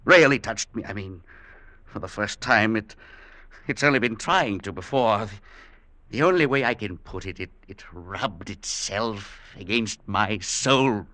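A tense, forceful male voice plays a high-strung professor haunted by something that revolts him. He is agitated and halting, repeating words in the manner of late 1940s radio thriller acting. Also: animated. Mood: horrified, revolted. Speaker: actor in a radio drama. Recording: vintage radio broadcast with music.

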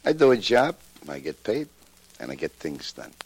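A man's voice: on the low side, wildly swooping pitch, normal speed, breathy edge, exaggerated voice. A man playing a tough, self-assured hired gun, terse and blunt, in 1940s radio drama style. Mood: blunt, boastful. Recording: noisy old 1940s radio recording.

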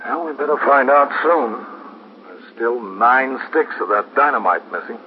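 A man playing a steady, sober police captain in a 1950s radio drama. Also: medium pitch, measured pace, lightly acted. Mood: grave, concerned. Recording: muffled, noisy 1950s radio recording.

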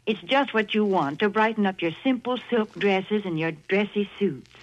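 A woman's voice: medium pitch, moderate pace. A woman co-hosting a 1940s radio show and reading its sponsor's advertisement in a cheerful, persuasive manner. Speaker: co-host and commercial announcer. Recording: dull 1940s radio broadcast.

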